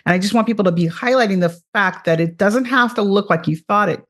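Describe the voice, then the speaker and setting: A woman's voice: mid-range voice, quick pace. A woman hosting a podcast interview, reacting with enthusiastic affirmations and drawing out her guest. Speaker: podcast host.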